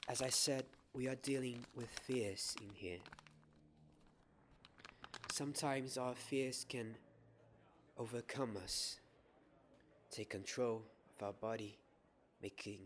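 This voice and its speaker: A young man playing a kind, gentle wizard professor in a soft-spoken ASMR roleplay, soothing and full of pauses. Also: mid-range voice, a little hiss or hum. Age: young adult. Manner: slow, soothing, pausing, repeats words softly. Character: kind, gentle male wizard professor teaching defense class.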